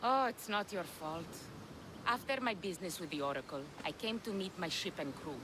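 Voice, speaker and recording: Actress as gruff female pirate captain, salty and blunt, very animated delivery, game dialogue, noisy ambience. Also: fairly low pitch, moderate pace, natural voice. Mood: brash, mocking. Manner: blunt, brash, salty swearing. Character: gruff, foul-mouthed, swaggering female pirate captain of ancient Greece. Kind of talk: video game dialogue acting.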